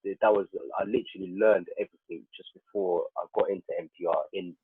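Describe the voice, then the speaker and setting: A man with a deep voice gives a personal, halting reflection, circling back over his words. The sound is narrow like a phone call, with a roomy echo around him. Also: fairly even intonation, slightly rough. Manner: halting, repetitive, searching for words. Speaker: guest, prison radio producer. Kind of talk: personal reflection in a panel conversation.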